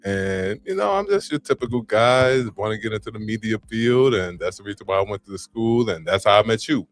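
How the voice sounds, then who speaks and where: A man in his late thirties, a podcast guest, telling his story in a relaxed, easygoing way. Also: fairly low pitch, fairly quick. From South Side. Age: 30s. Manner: relaxed storytelling with acted-out quotes. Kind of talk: casual interview chat.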